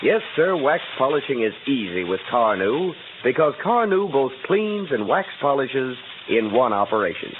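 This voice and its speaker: A man's voice: medium pitch, sing-song, normal speed, few pauses, close to an everyday voice. An American man playing a folksy, enthusiastic garage mechanic in a vintage radio advertisement. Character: folksy, enthusiastic male garage mechanic selling car polish. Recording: tinny, hissy old radio recording.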